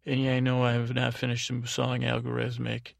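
Gravelly male podcast host, rambling along. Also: medium pitch, monotone, no echo, studio quality. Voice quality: creaky, gravelly. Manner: halting, meandering, repeats and restarts phrases. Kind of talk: rambling casual monologue with thank-yous.

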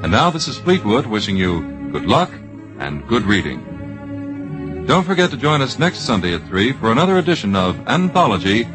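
A low-voiced American man works as a 1950s radio announcer. His delivery is formal and deliberate, with lively intonation. Music plays under the vintage broadcast.

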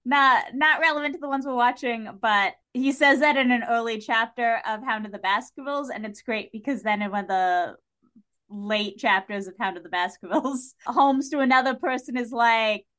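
A woman chatting casually on a podcast, retelling things in an animated way and acting out imagined lines. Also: on the high side, normal speed. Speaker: podcast panelist. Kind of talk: casual chat discussing a TV series.

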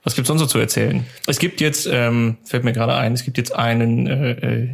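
A man's voice: medium pitch, steady tone, fairly quick, gravelly, husky. A man co-hosting a podcast in an informal, easygoing chat style. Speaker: podcast co-host.

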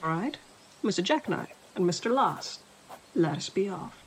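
An actor plays a courteous, formal newcomer escort in an audio drama. The voice is creaky and swings widely in pitch, with measured wording. There is faint background noise. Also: animated, close to an everyday voice, low-quality recording. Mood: formal, brisk. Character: formal, polite newcomer escort eager to get moving.

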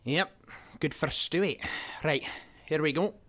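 A man's voice: on the high side, measured pace, bright, forceful tone. An actor playing a cocky, foul-mouthed football man, brash and teasing. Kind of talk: audio drama acting.

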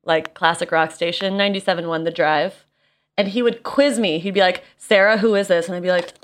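A woman in her thirties with an American accent, chatting casually in an expressive, slangy, self-deprecating way. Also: lowish voice, a little fast. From small town in Indiana, United States. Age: 30s. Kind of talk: casual chat.

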